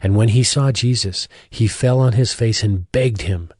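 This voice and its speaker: A man's voice: fairly low pitch, moderate pace, a little mellow, dry recording, clean studio sound. A man narrating a dramatized Bible reading from a script. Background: music.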